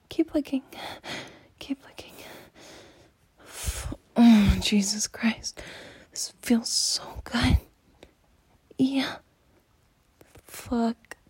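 A woman in an intimate adult audio roleplay, speaking in a breathy, teasing near-whisper with frequent sighs. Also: high voice, dark tone, no room sound. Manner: slow, intimate, teasing, sighing.

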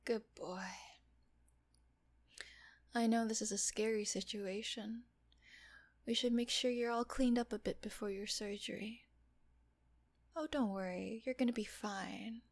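A woman voicing a teasing, doting female nurse in an intimate audio roleplay, talking softly and often in a whisper. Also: mid-range voice, fairly even intonation, measured pace, gravelly, pauses often. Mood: teasing, coaxing.

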